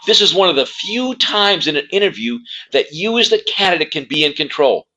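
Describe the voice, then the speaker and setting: A man's voice: on the high side, animated, fairly quick, bright, forceful tone. A man presenting as a career coach, giving instructional advice in an emphatic way and repeating key words for stress. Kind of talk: instructional talk to camera.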